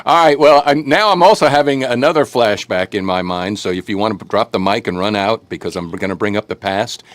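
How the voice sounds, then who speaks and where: A man's voice: on the low side, fairly quick, no echo. Joking man hosting local radio. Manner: jokey, rambling, self-deprecating. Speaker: host. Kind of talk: casual local radio and stream interview.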